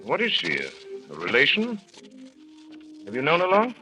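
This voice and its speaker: Brusque brooding widower. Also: male voice, fairly high pitch, wildly swooping pitch, normal speed, sharp tone, soft background music, heavily acted, rough recording. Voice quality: clipped, brusque, dry. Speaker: actor. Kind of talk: 1940s radio acting.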